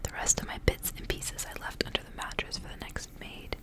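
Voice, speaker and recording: A woman reading aloud in a soft, breathy, unhurried whisper, in the style of an ASMR storyteller.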